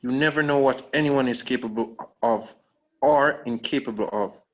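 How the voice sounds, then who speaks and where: An actor at a table read playing a brooding, guilt-ridden man in a thriller drama, measured and introspective. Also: mid-range voice, lightly acted.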